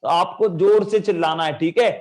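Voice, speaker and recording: A man's voice: high-pitched, normal speed, a little mellow. A young man with a sore throat teaching an online children's class, coaxing the kids with lots of energy. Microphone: video call.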